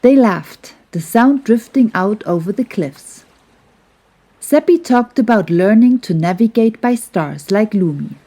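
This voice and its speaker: Slow, mellow woman telling a bedtime story. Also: medium pitch, animated. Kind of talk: gentle scripted bedtime story narration for children.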